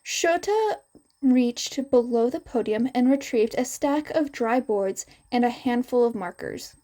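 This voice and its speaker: A woman's voice: fairly high pitch, expressive, measured pace, mellow. A woman reading a story aloud as scripted narration. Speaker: podfic narrator.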